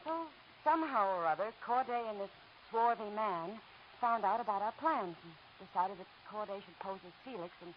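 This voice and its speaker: Faintly hissy vintage radio, actress as troubled woman client, medium pitch, earnest, halting, secretive, pleading. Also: animated, lightly acted. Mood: nervous, explaining. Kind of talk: 1940s radio noir acting. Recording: muffled, hissy old radio recording.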